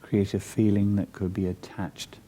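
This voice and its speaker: A man leading a guided meditation in a soft, breathy, soothing and measured way, leaving long pauses between phrases. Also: fairly low pitch, flat delivery.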